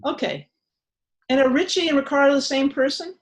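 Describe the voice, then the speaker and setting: A woman's voice: sits high, fairly quick. An older woman leading a casual workshop from her home shrine room over a webcam, chatty and full of ums and restarts. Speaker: meditation teacher leading a workshop. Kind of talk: casual online workshop talk.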